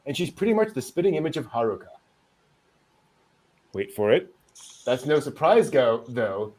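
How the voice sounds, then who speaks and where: A man's voice: fairly high pitch, darkish tone, low-quality recording. A man hosting a casual anime news show, chatting and joking with a wry, amused delivery.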